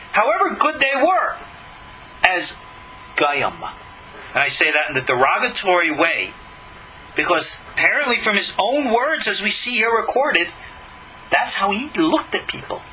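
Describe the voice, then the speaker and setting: A man's voice: high-pitched, bright, forceful tone, a little hiss or hum, rough recording. A man giving a religious lecture as a rabbi, reading scripture and commenting in an emphatic, argumentative way with rhetorical questions. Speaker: rabbi teaching a class.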